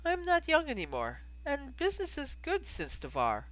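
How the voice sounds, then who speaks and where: Storybook innkeeper, acted by a reader: ageing foreign man, encouraging and patient, animated, bright voice. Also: high-pitched, normal speed, pitched above the performer's own voice, exaggerated voice, poor audio. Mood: musing. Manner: encouraging, instructive, formal phrasing.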